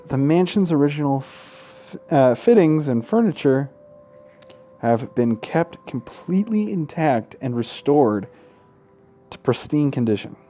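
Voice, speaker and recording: Slow, mellow man doing a let's play. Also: a little high. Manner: irreverent, sweary, stumbles while reading. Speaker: gaming YouTuber. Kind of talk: let's play, reading game narration aloud with casual asides.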